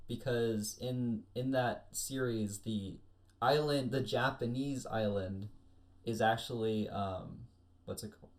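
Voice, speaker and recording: Male panelist, mid-pitched voice, informal discussion, explains in detail. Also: takes it slowly. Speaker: panelist on an anime discussion show. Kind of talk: casual chat.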